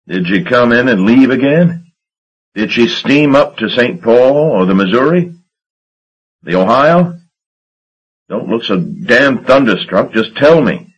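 Man doing a grumpy southern steamboat captain, loud, slow, bossy, husky, dull audio. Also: fairly low pitch. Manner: blustering, demanding, cursing. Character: gruff, hot-tempered middle-aged male steamboat captain. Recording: dull lo-fi recording.

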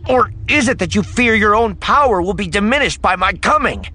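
A man voicing a regal, defiant princess and diplomat in an audiobook, with loud, formal, declamatory speech. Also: sits high, lively intonation, a little fast, pitched above the performer's own voice, exaggerated voice. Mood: bold, challenging.

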